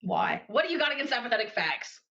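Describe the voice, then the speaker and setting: A woman's voice: on the high side, big pitch swings, fast, vocal fry, pushed and bright, highs cut off. A woman in a casual, argumentative phone conversation, challenging the other person, recorded from the call.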